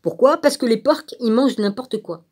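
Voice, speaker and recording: A woman's voice: fairly high pitch, moderate pace, darkish tone, slightly rough. A woman on a rambling informal rant, blunt and sarcastic, with crude colloquial phrasing and frequent rhetorical tags. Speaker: vlogger.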